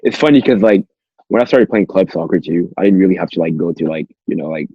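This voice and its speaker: A teenage guy from Cameroon whose first language is French, with a husky, fairly low voice. He chats haltingly, repeating himself as he tells anecdotes. The recording is dry and slightly dull. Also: expressive, dry recording. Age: teens. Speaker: podcast guest. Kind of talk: casual chat, anecdotes.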